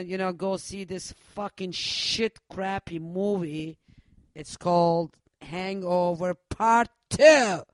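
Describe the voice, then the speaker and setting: Foul-mouthed radio host guy, slow and halting, smooth voice, dry studio. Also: sits high, no room sound. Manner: profane, loose, halting with many uhs. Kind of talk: casual radio chat.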